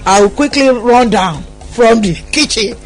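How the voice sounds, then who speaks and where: A woman's voice: on the high side, unhurried, faint music behind. A middle-aged woman with a Nigerian accent telling personal stories as a radio interview guest, with an emphatic manner. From Lagos, Nigeria.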